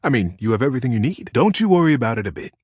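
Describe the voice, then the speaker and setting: A man voicing a devoted, sentimental teddy bear in a video game, talking in a gentle, folksy, earnest way with little stammers. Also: mid-range voice, big pitch swings, rapid-fire, slightly rough, no echo, clean studio sound. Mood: cozy, encouraging. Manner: folksy, earnest, cozy wording with hesitant stammers. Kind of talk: video game character acting.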